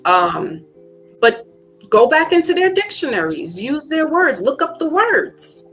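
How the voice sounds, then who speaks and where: A woman's voice: high-pitched, sing-song, measured pace, fairly bright tone, faint music behind. A woman hosting a podcast speaks in casual conversation, reflective and full of fillers, then grows emphatic. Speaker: podcast host.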